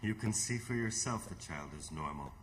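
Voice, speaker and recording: An actor playing a gentle, enigmatic doctor, measured and probing, in old-time radio theatre. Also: male voice, lowish voice, animated, lightly acted. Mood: reassuring. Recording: loud background noise and ambience.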